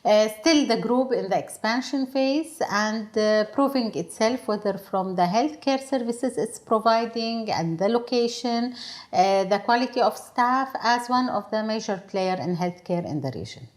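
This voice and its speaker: A woman's voice: a little high, lively intonation, normal speed. A woman from Syria, a hospital chief executive, answering interview questions in English in a hesitant way, with many fillers and restarts. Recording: roomy echo.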